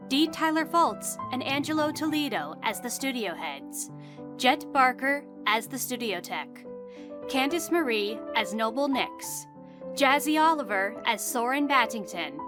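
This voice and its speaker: A woman reading scripted storybook narration and credits for an audio drama. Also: sits high. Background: music.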